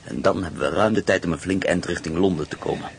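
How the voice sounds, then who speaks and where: An actor playing a calm, practical escaped prisoner of war in a radio play. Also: male voice, low voice, fast, gravelly, a little hiss or hum. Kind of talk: radio drama acting.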